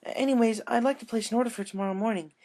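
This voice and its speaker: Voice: mid-range voice, fast talker, darkish tone, voice pushed up, close to an everyday voice. A narrator voicing a friendly, sympathetic female pony customer, chatty and polite, in a story reading. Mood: reassuring.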